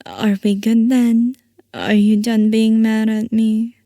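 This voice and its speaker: A woman playing a playful, possessive scientist boss who dotes on her assistant, in a soft, coaxing ASMR roleplay. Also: on the high side, level tone, takes it slowly, no echo, studio quality. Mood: reassuring, fond. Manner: soft, coaxing, affectionate villainy.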